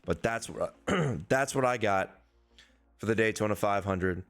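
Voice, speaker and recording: A hoarse man, a young adult, hosting a casual sports-betting preview and swearing freely in a loose, rambling way. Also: fairly low pitch, measured pace, a bit bright. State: hoarse, losing his voice. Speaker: sports betting podcast host.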